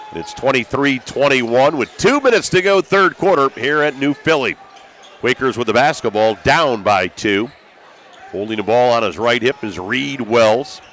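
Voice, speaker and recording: A man's voice: mid-range voice, fairly bright tone. A man calling live basketball play-by-play as a sports broadcaster. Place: high school basketball gym. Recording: crowd and gym noise behind. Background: gymnasium crowd, bouncing basketball.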